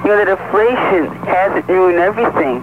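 A man's voice: high voice, moderate pace, over music. A man calling in to a 1970s radio show to tell one-liner jokes. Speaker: caller. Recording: noisy tinny phone line on radio.

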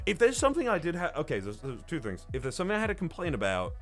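A man's voice: on the high side, huge pitch swings, rapid-fire, soft background music. A man hosting a podcast in casual film review chat, talking in a rambling way with plenty of swearing. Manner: profane, rambling. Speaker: podcast host.

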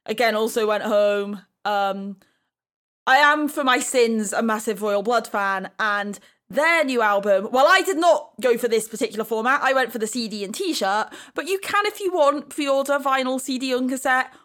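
A woman's voice: a little high, moderate pace, a bit bright. A woman co-hosting a podcast in a casual, chatty style. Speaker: podcast co-host.

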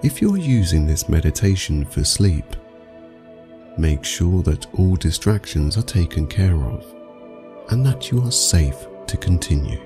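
A man narrating a scripted guided meditation for sleep, speaking soothingly and in measured phrases with long pauses. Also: low-pitched, sing-song, warm tone, soft background music.

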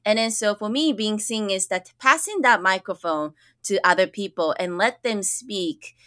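A woman's voice: mid-range voice, moderate pace, pushed and bright, no echo, studio quality. A young woman from Japan, a school counselor, talking earnestly on a podcast microphone with many fillers and restarts. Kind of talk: casual interview conversation.

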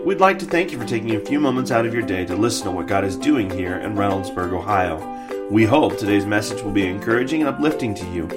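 A man with a fairly low voice reads a scripted podcast introduction. Plucked guitar music plays clearly behind him. He delivers it as the show's announcer. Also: animated, quick pace.

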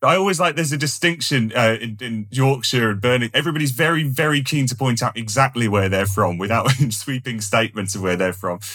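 A man hosting a podcast, speaking in a measured, polite way with the odd uh. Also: medium pitch, fairly bright tone. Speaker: podcast host. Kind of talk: podcast hosting, scripted intro then casual chat.

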